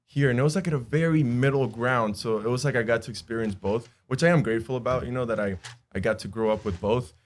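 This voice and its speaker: A man's voice: mid-range voice, quick pace. A man in his twenties from Honduras, a native Spanish speaker, chatting as a podcast guest, with lots of fillers and frequent laughs. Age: 20s. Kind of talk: casual podcast chat.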